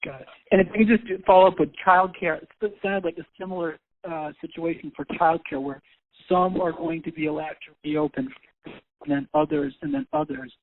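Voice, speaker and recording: Higher-voiced male reporter over the phone. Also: lively intonation, brisk, vocal fry. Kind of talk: press questioning. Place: on a conference call. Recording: thin, tinny phone line.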